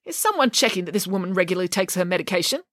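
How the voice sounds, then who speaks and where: Storybook pig nanny, female, haughty and self-assured, pompous delivery, lively intonation; performed by a woman reader. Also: fairly low pitch, racing, no echo, studio quality. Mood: grand. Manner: emphatic, pompous declarations.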